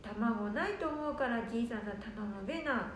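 A woman's voice: animated, normal speed, lightly acted. A woman playing a forgetful, stubborn old grandmother who rambles in Nanbu dialect, in an amateur radio drama. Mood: cheerful. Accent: Nanbu dialect, northern Tohoku. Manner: rambling, muttering to herself. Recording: echoey room, background rumble.

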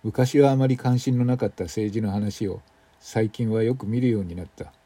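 A man's voice: lowish voice, fairly even intonation, normal speed, mellow, breathy edge, dry recording. A man playing a retired older man in a radio drama, reflective and muttering in a colloquial way. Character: retired old man, weary and grumbling, reflective.

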